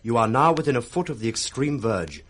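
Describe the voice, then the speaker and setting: A man's voice: medium pitch, big pitch swings, brisk. Urgent young nobleman, swooping delivery. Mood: urgent, vivid. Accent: British. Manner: vivid, theatrical verse speaking. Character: disguised young nobleman, loyal, urgent, guiding a blind man. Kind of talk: 1960s BBC radio Shakespeare acting.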